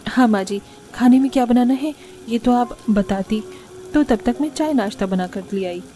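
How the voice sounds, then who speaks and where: A woman's voice: on the high side, moderate pace, warm tone, slight background noise, natural voice. A woman voicing a sweet, obedient young newlywed daughter-in-law in a Hindi family cartoon, speaking meekly and politely. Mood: polite, eager. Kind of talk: Hindi moral-story cartoon dubbing.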